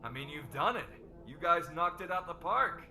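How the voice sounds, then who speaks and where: A man acts out an agreeable male board member in an audio roleplay. His voice is slightly high, bright and lively in pitch, with a plain-spoken, approving way of talking. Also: normal speed, a little hiss or hum, exaggerated voice.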